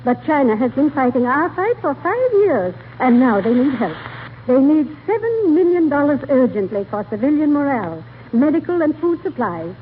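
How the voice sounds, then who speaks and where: An actress playing a haughty, earnest high-society clubwoman with grand, prim diction in a 1940s radio comedy. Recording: tinny, faintly hissy vintage radio broadcast.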